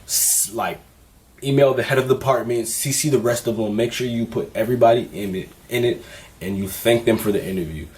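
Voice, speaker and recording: A man in his twenties vlogging casually, candid and relaxed, with plenty of fillers as he talks through his experiences. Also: medium pitch, brisk. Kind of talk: casual vlog advice.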